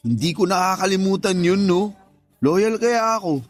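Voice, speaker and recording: A man's voice: high-pitched, expressive, moderate pace, soft background music. An actor playing a teasing, pushy married best friend in his thirties, with a Filipino accent, coaxing and joking in a radio drama.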